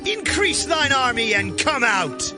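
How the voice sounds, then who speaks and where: An actor playing a boastful, defiant rebel leader in a dramatized Bible reading, declaiming over music. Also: male voice, extremely high pitch, big pitch swings, measured pace, heavily acted. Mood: defiant, scornful. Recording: music behind the voice.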